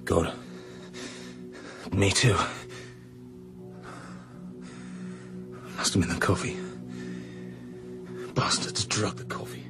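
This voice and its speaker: A man's voice: sits low, fairly even intonation, slow pace, music in the background. An actor with a British accent playing a cool, wry male secret agent in a radio drama, speaking in a dry, clipped way. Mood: woozy, alarmed.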